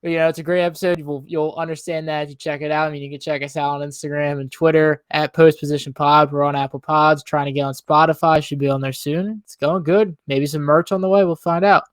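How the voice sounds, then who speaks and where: A man's voice: fairly high pitch, level tone, fast, hardly any pauses, clean tone, no room sound. Laid-back male podcast co-host, dry sound. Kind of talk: casual pop-culture podcast chat.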